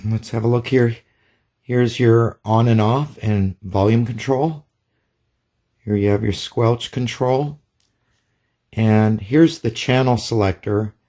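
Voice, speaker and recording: A man's voice: medium pitch, takes it slowly, long pauses, warm tone. A man giving a casual hands-on product demonstration in a plain, methodical, step-by-step way. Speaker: product reviewer.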